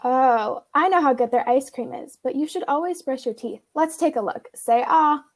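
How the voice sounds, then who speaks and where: A woman's voice: high-pitched, moderate pace. A woman playing a reassuring, upbeat dentist in a scripted radio commercial.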